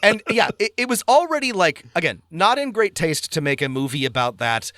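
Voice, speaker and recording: A man's voice: high voice, sing-song, fairly quick, bright, forceful tone, dry recording, clean studio sound. A man co-hosting a podcast in casual review chat, speaking emphatically and repeating words for stress. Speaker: podcast co-host.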